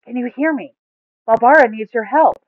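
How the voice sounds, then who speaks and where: A woman voicing an eager, caring adventurer who speaks earnestly and excitably. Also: fairly high pitch, moderate pace, no room sound, narrow, tinny audio, pitched above the performer's own voice, close to an everyday voice. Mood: earnest, concerned.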